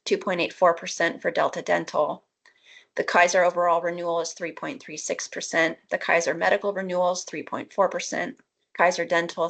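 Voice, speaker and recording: Low, creaky-voiced woman presenting at a meeting. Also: a bit bright. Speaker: benefits presenter at a board meeting. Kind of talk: formal meeting presentation of figures.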